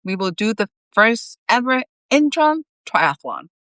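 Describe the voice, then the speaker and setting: A grandiose, excitable tomcat boss from a storybook, acted out by a woman reader. The cat proclaims bossily and theatrically, with pitch that swoops widely, in a dry close recording. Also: unhurried, dry recording. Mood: excited, commanding.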